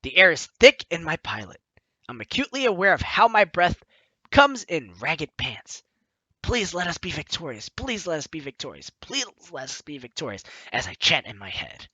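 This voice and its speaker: A man's voice: a little high, normal speed, slightly rough, dry recording, muffled recording. A man hosting a let's play, reading a visual novel story aloud in a theatrical, dramatic way. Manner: theatrical, animated delivery. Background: game music.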